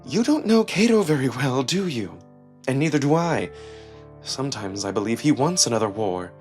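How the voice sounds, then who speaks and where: A man voicing a stern, guarded she-wolf noblewoman with a measured, cutting, skeptical manner. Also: medium pitch, big pitch swings, darkish tone, soft background music, close to an everyday voice.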